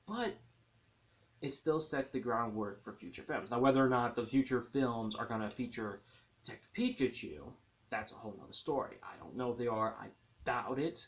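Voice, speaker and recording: Casual man reviewing a movie, dull lo-fi audio. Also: on the low side. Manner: enthusiastic, rambling, repeats and rephrases himself.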